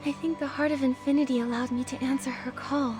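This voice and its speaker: Woman voicing a young fantasy game heroine, high pitch, gentle, sincere, thoughtful, clear music behind. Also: steady tone, fast, natural voice. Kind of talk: game cutscene acting.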